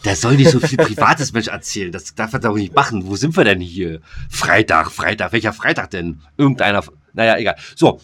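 A man's voice: on the low side, animated, brisk, few pauses, a bit bright. A man co-hosting a podcast in casual banter, colloquial and teasing with a mock-serious streak. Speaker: podcast co-host.

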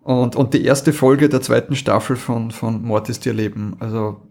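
A man with an Austrian accent and a mid-range voice chats as a podcast co-host. His delivery is informal and halting, and he often doubles back on words while thinking aloud. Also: medium pitch, steady tone, darkish tone. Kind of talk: casual chat.

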